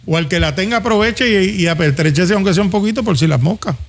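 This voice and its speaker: Gravelly Puerto Rican man talking casually. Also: sits high, quick pace. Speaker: talk show host. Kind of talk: casual conversational commentary. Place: studio.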